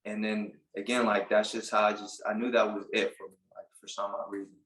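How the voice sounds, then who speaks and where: A man in his late twenties from Puerto Rico, a barber telling his story in a casual interview, relaxed and halting with many fillers. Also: lowish voice, roomy sound, low-quality recording. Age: late 20s. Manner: relaxed, halting, lots of "like" and restarts. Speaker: barber and business owner, guest.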